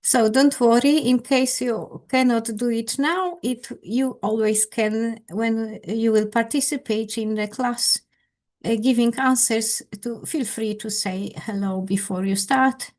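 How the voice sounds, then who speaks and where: A woman with a Polish accent, leading an online English conversation class as its teacher, with careful, halting non-native phrasing. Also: a little high, fairly even intonation, darkish tone. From Poland. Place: indoors on a video call.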